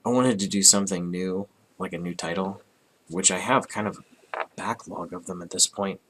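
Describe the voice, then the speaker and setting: Hoarse man in his thirties, sick with a cold, low and bright-edged voice, rambling comic review. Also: steady tone, normal speed, slight background noise, low-quality recording. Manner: rambling, frequent restarts and throat clearing. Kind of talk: casual review.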